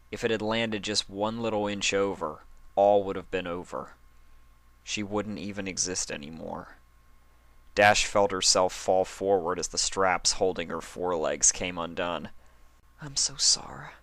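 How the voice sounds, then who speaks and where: Male story narrator, very low pitch with a forceful, bright edge, dramatic reading of fan fiction. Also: fairly even intonation, a little slow, no echo.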